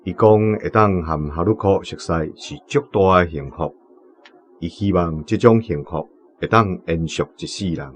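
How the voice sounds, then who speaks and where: A man playing a measured village uncle with a Taiwanese accent, reading aloud in a radio drama. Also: sits low, slight background noise, lightly acted. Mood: measured, tactful.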